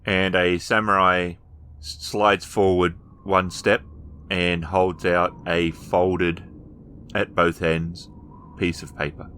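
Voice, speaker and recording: Tabletop storyteller, male: low, leisurely delivery, halting improvised scene description. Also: flat delivery, pushed and bright, a little hiss or hum. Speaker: game master. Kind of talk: improvised tabletop game narration.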